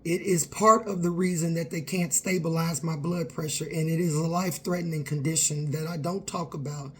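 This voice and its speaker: A woman's voice: low-pitched, fairly even intonation, normal speed. A woman, talking candidly and earnestly to her viewers in a personal vlog. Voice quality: raspy. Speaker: vlogger.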